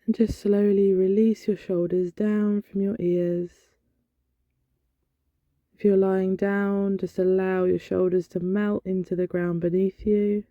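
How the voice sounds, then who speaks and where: A woman leading a guided body scan meditation in a gentle, soothing, instructional manner. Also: medium pitch, level tone, slow. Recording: dull, lo-fi, roomy echo.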